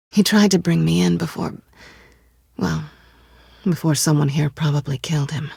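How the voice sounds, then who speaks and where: A husky lowish cool cat burglar lady for a game, sly n teasing, super dry close sound. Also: fairly even intonation, unhurried, darkish tone, no echo, heavily acted. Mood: guarded, matter-of-fact. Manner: sardonic, teasing, understated. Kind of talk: naturalistic video game dialogue acting.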